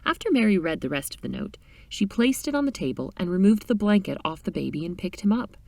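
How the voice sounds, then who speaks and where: A woman reading a short story aloud in an expressive, dramatic storytelling style. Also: mid-range voice, quick pace, vocal fry, dry recording, recorded from a distance. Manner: animated, dramatic reading with character voices.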